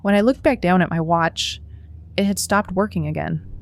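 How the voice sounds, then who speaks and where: A woman's voice: fairly low pitch, normal speed, no echo, lightly acted. A woman playing an earnest, reflective runner who narrates her own story in an audio drama. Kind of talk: first-person story narration with acted dialogue.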